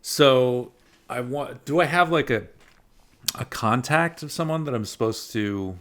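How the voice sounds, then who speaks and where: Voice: mid-range voice, measured pace, a bit bright. A player in a casual tabletop game, laid-back and joking.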